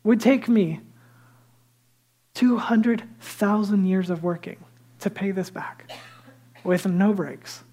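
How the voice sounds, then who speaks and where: A man with a high, light voice preaching a sermon as a pastor, telling stories lively and dropping into hushed asides. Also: slow, pauses often. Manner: lively storytelling that drops to hushed, near-whispered asides; self-deprecating and conversational.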